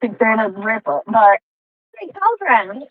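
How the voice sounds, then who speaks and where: A woman's voice: fairly high pitch, big pitch swings, a little slow, no room sound. A woman playing in a tabletop game, chatting casually in character over thin, muffled call audio. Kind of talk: casual in-character roleplay chat. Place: inside a car, on a remote game call.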